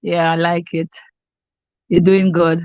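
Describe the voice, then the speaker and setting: A woman's voice: on the low side, little pitch movement, slow pace, a little mellow, smooth, no room sound, highs cut off. A middle-aged Jamaican woman hosting a cooking live stream, chatting casually with viewers by name. She sounds stuffy and congested and often restarts her sentences. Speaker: cooking channel host.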